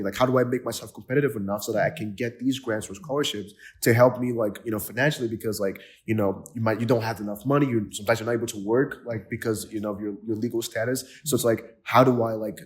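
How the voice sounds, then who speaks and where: A man from Nigeria hosting a podcast interview, talking with enthusiasm and lots of fillers and restarts. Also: fairly low pitch. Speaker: podcast host. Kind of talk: casual interview chat.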